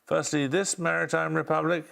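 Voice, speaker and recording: A man hosting a televised quiz, reading questions and calling answers in a brisk, authoritative way. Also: on the high side, little pitch movement, vocal fry, a bit bright. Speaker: quiz show host.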